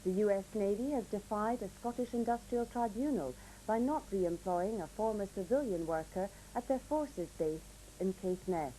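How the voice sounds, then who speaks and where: Casting: female regional news and weather reader, formal, measured, soft mellow tone, slightly noisy old tape. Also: mid-range voice. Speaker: newsreader.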